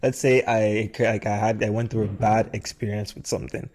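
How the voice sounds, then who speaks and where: A man's voice: lowish voice, flat delivery, moderate pace, darkish tone. A man hosting a podcast in casual chat, informally explaining his reasoning at length. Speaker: podcast host.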